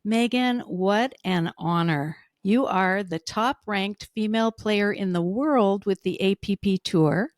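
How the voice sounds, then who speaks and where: A woman's voice: mid-range voice, slow pace, hardly any pauses, no room sound, studio quality. A woman co-hosting a podcast, effusive and gushing as she introduces and questions her guest. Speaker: podcast co-host. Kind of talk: enthusiastic interview hosting.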